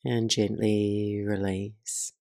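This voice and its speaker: A woman leading a guided meditation as the teacher, speaking in a soothing, measured way with soft pauses. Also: rumbling low, flat delivery, creaky, no echo, studio quality.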